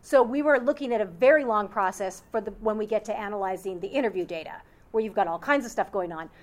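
A woman's voice: mid-range voice, quick pace. A woman presenting at an academic conference, answering audience questions about her research with an upbeat, explanatory manner.

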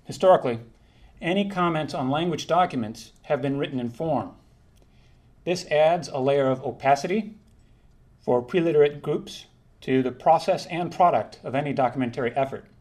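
A man presenting a prepared linguistics talk, reading in a measured, formal way and sometimes correcting himself. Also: a little high, darkish tone. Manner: measured, formal delivery with occasional self-corrections.

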